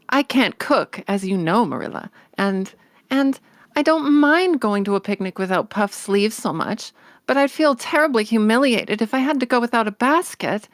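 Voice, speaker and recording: A woman playing an excitable, dreamy orphan girl in a storybook read-along, breathless and gushing. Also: fairly high pitch, no room sound, clean studio sound, close to an everyday voice. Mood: worried. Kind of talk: acted audiobook read-along.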